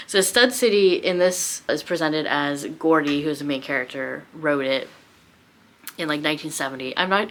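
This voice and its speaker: A woman with a low voice talks through a book in a casual, conversational vlog style. She thinks aloud as she goes, searching for words and gently mocking herself. The delivery is loose and unscripted. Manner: self-deprecating, rambling, thinking aloud, giggly. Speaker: book vlogger.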